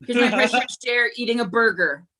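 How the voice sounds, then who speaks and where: A woman performing stand-up one-liners at an online open mic, with a slow deadpan delivery and giggles between jokes, heard over a video call. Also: fairly high pitch. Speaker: stand-up comedian.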